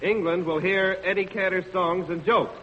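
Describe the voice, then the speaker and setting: A man's voice: high-pitched, steady tone, moderate pace. A man working as announcer and straight man in 1930s live radio comedy banter, on an old broadcast recording. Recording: very noisy, muffled hall broadcast, 1930s radio.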